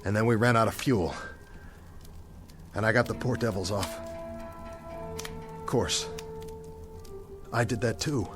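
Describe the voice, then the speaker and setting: An actor with an American accent playing a dazed, guilt-ridden young bomber captain in a radio drama, talking to himself in broken, searching phrases. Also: male voice, lowish voice, a little slow, long pauses, music in the background, lightly acted.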